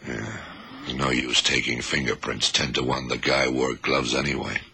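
Very deep, gravelly investigator. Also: male voice, fairly even intonation, sharp tone, exaggerated voice. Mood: politely probing. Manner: deliberate questioning with murmured hums. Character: shrewd, methodical male FBI investigator leading a case. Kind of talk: radio drama acting. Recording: 1970s radio drama, faint noise.

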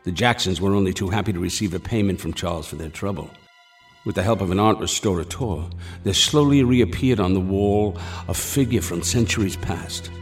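A man narrating a gothic radio drama in a formal, measured storytelling manner. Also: low-pitched, natural voice. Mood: solemn. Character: solemn male narrator of a gothic horror tale. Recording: music behind the voice.

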